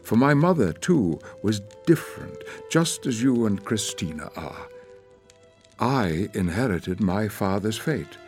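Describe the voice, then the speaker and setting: An actor playing an aging, lonely former officer in a radio drama, speaking in a formal, reflective, deliberate way. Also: male voice, lowish voice, expressive, a little mellow, soft background music. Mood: bitter, intense. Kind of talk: radio drama acting, literary monologue.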